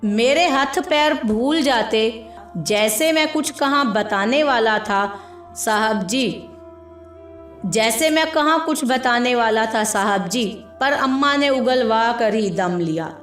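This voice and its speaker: A woman's voice: fairly high pitch, animated, slow pace, a bit bright, roomy sound, soft background music. A woman narrating a scripted story in a dramatic storytelling style.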